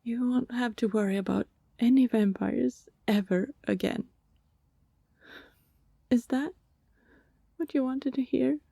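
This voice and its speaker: A woman's voice: a little high, warm tone, dry recording, heavily acted. Woman acting a pleading vampire, close and dry. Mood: hurt, resigned. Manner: hesitant, halting, imploring, often dropping to breathy whispers. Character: lovelorn female vampire neighbor, pleading, persistent and anxious. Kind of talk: ASMR roleplay acting.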